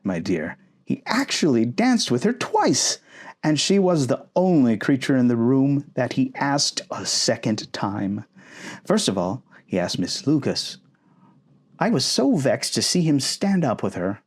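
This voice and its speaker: A man voicing an excitable, gossipy middle-aged mother from a storybook, effusive and dramatic. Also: medium pitch, a little slow, slightly rough. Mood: gushing, excited. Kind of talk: storybook character acting.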